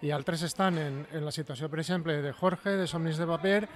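A man with a Valencian accent answers an interview in an explanatory way. His voice is somewhat high for a man, and he speaks as a trade guild representative. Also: fairly even intonation, fairly quick. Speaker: booksellers' guild technical director, interviewee.

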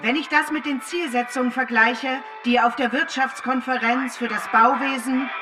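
An actress playing an ironic, restless storyteller in a deliberate, declamatory theatrical monologue. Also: female voice, sits high, steady tone, far from the mic, natural voice. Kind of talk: theatrical scripted monologue with narration. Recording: music behind, voice off the mic. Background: music.

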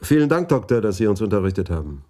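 Decisive cop in a radio play, lowish soft-edged voice, all business, probing. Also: male voice, sing-song, fast talker. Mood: businesslike, probing. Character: businesslike male police detective, decisive and probing. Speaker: police detective. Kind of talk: radio crime drama acting.

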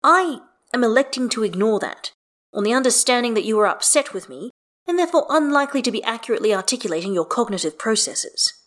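Female reader as bookish, exasperated male story character; formal wording, emphatic, raised and animated pitch. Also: normal speed. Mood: earnest, hurt. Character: earnest, wordy, exasperated scholarly man.

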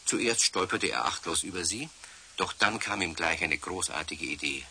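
Casting: male narrator for a children's radio story, low voice, very bright crisp edge, faint tape hiss. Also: expressive, moderate pace.

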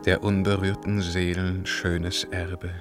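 An actor playing a tormented emperor in a German verse radio drama, declaiming solemnly and moving between hushed and crying out. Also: male voice, low-pitched, little pitch movement, slow, over music. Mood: subdued, prayerful. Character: tormented Byzantine emperor breaking free of a witch's spell.

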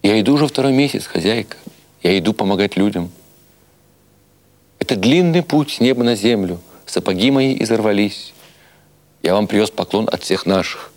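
Man playing sly hungry wanderer, lowish voice, glum deadpan, solemn fibber, storybook character. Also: natural voice. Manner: mournful, deadpan, unhurried solemn fibbing.

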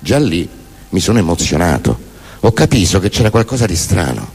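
A man performs theatrical spoken word as a stage monologue, in a deadpan, declamatory manner. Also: low-pitched, fairly quick, slightly rough. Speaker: stage performer reciting poems and prose monologues.

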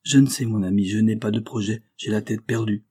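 A man's voice: lowish voice, fairly even intonation, a little fast, dark tone, slightly rough. A man voicing a downcast, proud young male cashier in an audiobook, with an earnest, hesitant manner. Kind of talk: audiobook character dialogue.

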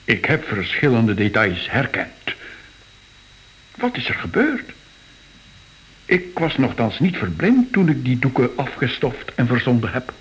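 An actor playing an emotional, awestruck art lover in a radio drama, exclamatory. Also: male voice, medium pitch, very animated, a little slow, pauses often, low-quality recording. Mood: awed, rapturous.

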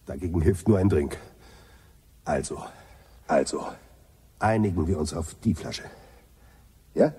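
A man's voice: sits low, a little slow, pauses often, dark tone, slightly rough, full character voice. A man playing an irritable, hard-drinking, restless space adventurer in a German radio play, grumbling and blustering. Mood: tipsy grumbling.

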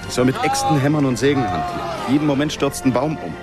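An actor plays a put-upon man who hates hard labour and grumbles theatrically. His voice sits at a middle pitch, in a radio drama with loud music underneath. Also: big pitch swings, quick pace, close to an everyday voice. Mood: self-pitying. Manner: complaining, dramatic. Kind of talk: radio play dialogue.

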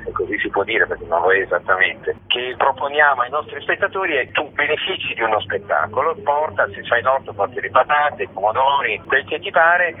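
A man being interviewed as a guest on radio, talking in a discursive way with many filler phrases. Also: mid-range voice. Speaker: guest, theatre festival organiser. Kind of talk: spoken radio interview, explanatory. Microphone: telephone. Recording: noisy narrow-band phone line.

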